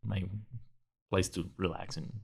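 Guest from Venezuela: deep, gravelly male voice, relaxed and halting, laughs between phrases. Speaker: startup co-founder, guest. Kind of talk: casual conversation.

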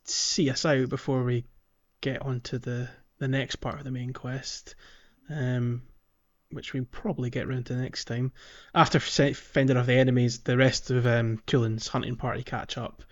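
A man's voice: mid-range voice, little pitch movement, moderate pace, dull audio. A man hosting a podcast, discussing a video game casually and often chuckling mid-sentence. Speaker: podcast host.

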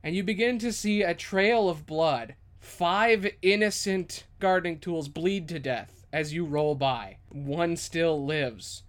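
A man with a fairly high voice runs a tabletop game as game master. He improvises descriptive scenes with dramatic storytelling. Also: slow, hardly any pauses.

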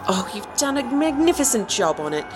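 An actress as an animated, gushing woman assistant. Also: on the high side, brisk, music in the background. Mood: gushing, flustered. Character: gushing, awkward woman assistant, eager to please. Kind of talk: old-time radio drama acting.

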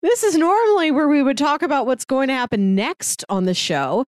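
High-pitched, animated woman podcast host. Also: normal speed, no echo, studio quality. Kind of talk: casual chat.

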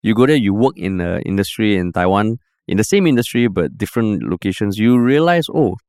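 A man with a Singaporean accent hosting a podcast, chatting in a relaxed, loose way. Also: lowish voice, brisk, no room sound, studio quality. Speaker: podcast host. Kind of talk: casual chat. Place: podcast studio.

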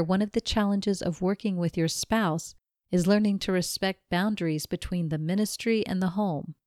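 A woman reading a written magazine interview aloud in a measured, even manner. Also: medium pitch, dry recording, studio quality. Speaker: reader of a magazine article.

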